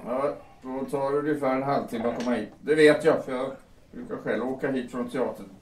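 A man's voice: mid-range voice, steady tone, lightly acted. A man in an audio drama playing a besotted fiancé, defending his bride-to-be in a hesitant, placating, explanatory way. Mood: explaining. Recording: echoey room, distant, faint noise.

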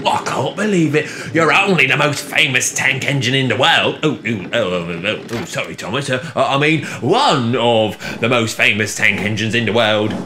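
A man's voice: mid-range voice, sing-song, unhurried, noisy background. Man voicing a stammering, flustered old steam engine. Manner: stammering, hesitant, gushing. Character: flustered, modest ageing male steam engine, easily awed.

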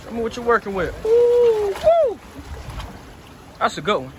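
A man giving casual, excited fishing commentary with drawn-out whoops, recorded outdoors by the sea. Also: sits high, lo-fi sound. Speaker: fishing vlogger behind the camera. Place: outdoors on a rocky sea jetty. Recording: loud wind and sea noise on mic.

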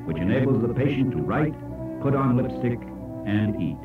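A man reading scripted educational narration in an emphatic, oratorical way with dramatic pauses. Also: medium pitch, expressive, distant mic. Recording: echoey, dull old cassette played aloud, music. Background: music.

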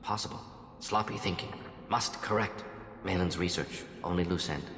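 An actor playing a brisk, clinical older alien scientist in a video game, speaking in clipped, terse fragments. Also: male voice, low-pitched, a bit bright. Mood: troubled, brisk. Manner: clipped, telegraphic, terse phrasing. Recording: echoey hall, music behind.

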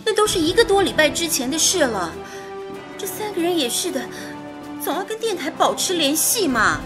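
An actress playing a proud, sharp-tongued young lady in a radio drama, quick to scold in a huff. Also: extremely high pitch, sing-song, over music. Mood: fretting. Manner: huffy, scolding. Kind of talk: Mandarin radio drama acting.

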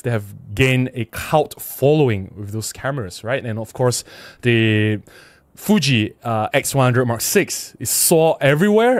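Middle-aged male livestream host, Malaysian accent, conversational and emphatic, medium pitch, close dry mic. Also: no room sound. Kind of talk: casual livestream chat, reading out viewer comments and replying. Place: small indoor room at a desk. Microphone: close desk microphone with windscreen.

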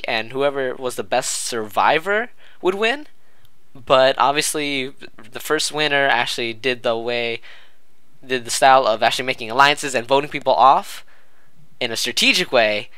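A man's voice: medium pitch, bright, forceful tone, smooth, no echo. A man co-hosting a casual podcast, talkative and emphatic, drawing out his show intro. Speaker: podcast co-host.

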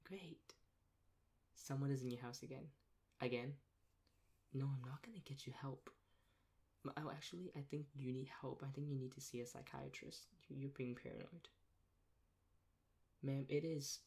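A young man in a soft-spoken ASMR role play as a rude emergency dispatcher, talking in a deadpan, sarcastic and dismissive way. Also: medium pitch. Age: young adult. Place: small plain room indoors. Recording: clear background noise, keyboard clicks.

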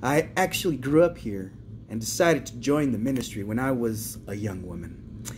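A man's voice: mid-range voice, lively intonation, moderate pace, a little hiss or hum, close to an everyday voice. A man acting a pompous, menacing nun of fifty-eight in a theatrical monologue, sugary, preachy and folksy. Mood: pious, self-satisfied. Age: 58 as played. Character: pompous, menacing 58-year-old Mexican nun in charge.

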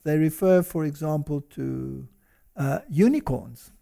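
A man's voice: a little high, unhurried, gravelly, dark tone. An older man co-hosting a religious discussion, explaining points in a lecturing way. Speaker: co-host. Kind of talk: studio discussion.

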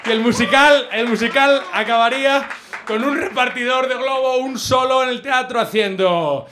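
High-voiced Spanish stand-up comic. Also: male voice, moderate pace. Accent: Spain. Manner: animated, theatrical delivery. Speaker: stand-up comedian. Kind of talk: stand-up comedy with comic singing. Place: small comedy club stage. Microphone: handheld microphone.

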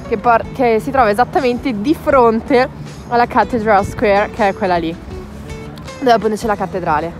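A woman in her twenties chatting excitedly as she vlogs outdoors on city streets, holding her phone at arm's length. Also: mid-range voice. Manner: chatty, excited, mixes English words. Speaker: vlogger. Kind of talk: casual travel vlog. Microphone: phone held at arm's length.

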